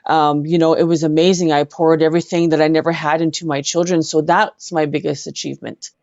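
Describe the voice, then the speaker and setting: A middle-aged woman with a Canadian accent, telling personal stories as an interview guest in an earnest, halting way. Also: sits low, flat delivery, a little mellow, dry recording, highs cut off. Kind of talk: personal storytelling in conversation.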